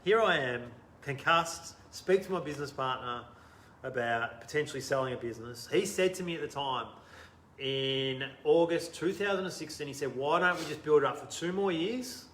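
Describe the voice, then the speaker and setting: A man in his thirties with an Australian accent, the guest in a casual interview, telling his story animatedly, laughing often and trailing off quietly. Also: on the high side, moderate pace, roomy sound. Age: 30s.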